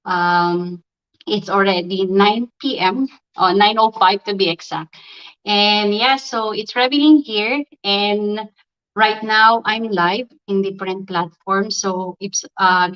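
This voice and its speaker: A woman's voice: mid-range voice, fairly even intonation, unhurried, dull audio. A woman from the Philippines hosting a live online interview, talking hesitantly with many ums and restarts. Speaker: livestream host.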